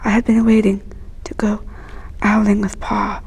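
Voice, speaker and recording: A woman reading a children's story aloud in a hushed, breathy, whispery storytelling voice. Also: medium pitch, level tone, moderate pace, low-quality recording.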